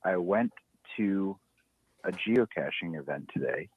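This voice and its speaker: A man's voice: animated, measured pace, slightly rough, no room sound. A man calling in to a podcast, talking casually in a rambling, hesitant way with many restarts. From Ohio. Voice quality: low voice. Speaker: caller. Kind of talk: casual phone-in chat. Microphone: phone. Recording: dry tinny phone line.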